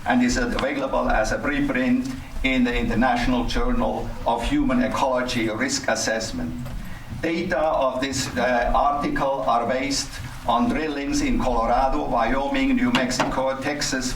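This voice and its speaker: Halting older man in an echoey hall. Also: medium pitch, fairly even intonation. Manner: halting, formal, scholarly delivery. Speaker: resident speaking at public comment, cancer researcher. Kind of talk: prepared public address at a town meeting. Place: community center meeting hall. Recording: roomy, distant mic, faint noise.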